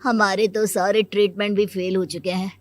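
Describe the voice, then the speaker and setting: A woman plays a gentle young husband in a cartoon moral tale. The voice has a middle female pitch and a mild, earnest delivery. Music plays underneath. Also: level tone, normal speed, natural voice. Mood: sorrowful. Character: gentle, childless younger brother, young married man. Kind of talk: acted Hindi moral cartoon story.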